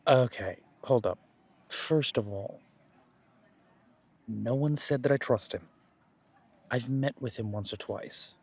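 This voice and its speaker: A man's voice: medium pitch, takes it slowly, long pauses, darkish tone, husky. A man playing a caring, easygoing werewolf pack leader in an audio roleplay, talking in a casual, conversational way with trailing phrases. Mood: defensive, earnest.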